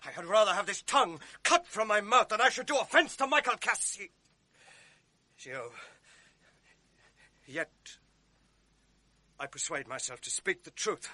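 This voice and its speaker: An actor playing a scheming, cunning ensign who feigns honesty in a radio drama, smooth and insinuating. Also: male voice, high voice, sing-song, measured pace, pauses often, sharp tone, no echo, exaggerated voice. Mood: feigning reluctance. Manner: smooth, insinuating, urgent when raising alarm. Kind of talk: 1980s radio drama acting.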